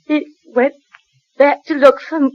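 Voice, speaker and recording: A woman's voice: high voice, fairly even intonation, unhurried, highs cut off. An actress playing a distressed, selfless woman passenger in a 1940s radio drama, with an urgent, dramatic manner. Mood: stunned. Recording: noisy old radio recording, crowd behind.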